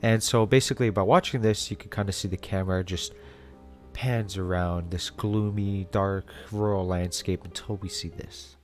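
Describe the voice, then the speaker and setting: Low-voiced man giving casual commentary. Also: steady tone, normal speed, over music. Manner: conversational, wry asides. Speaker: host. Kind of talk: casual video analysis commentary.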